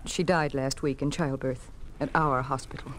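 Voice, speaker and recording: A woman's voice: rumbling low, fairly even intonation, moderate pace, fairly bright tone. A woman playing a loyal, compassionate nurse in a 1950s radio drama, earnest and anxious in manner. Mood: concerned. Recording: noisy old 1950s radio recording.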